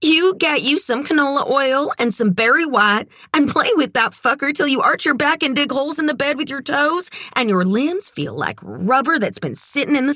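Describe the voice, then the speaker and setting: A brassy, bawdy woman of the world, as an audiobook narrator puts her on, pitched high and close in a dry recording. She sweet-talks with sugary endearments and speaks frankly, teasing and amused. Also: sharp tone, no room sound, full character voice. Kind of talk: audiobook character dialogue.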